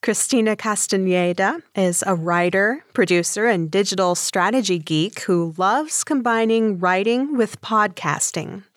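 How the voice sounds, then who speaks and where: A woman co-hosting an interview podcast, light and quick to laugh. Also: mid-range voice, dry recording, studio quality. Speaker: podcast co-host. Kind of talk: casual chat, reading a guest bio.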